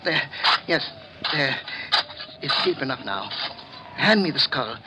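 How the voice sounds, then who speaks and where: An actor playing a nervous, evasive, guilt-ridden murderer in a 1940s radio drama, speaking in hesitant stammers. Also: male voice, mid-range voice, sing-song, slow pace. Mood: wary, scheming. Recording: noisy vintage 1940s radio recording.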